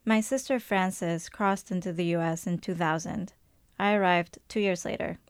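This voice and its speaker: A woman narrates with a somewhat low voice, calm and deliberate. She tells her story like a seasoned radio producer, steady and evocative. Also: clean tone, no echo. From Colombia. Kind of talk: scripted personal narration.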